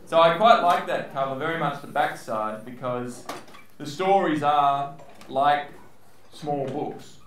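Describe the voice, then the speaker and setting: A man's voice: fairly high pitch, takes it slowly, fairly bright tone, slight background noise. A man giving an informal welcome speech as host of a live reading event. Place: live book launch venue.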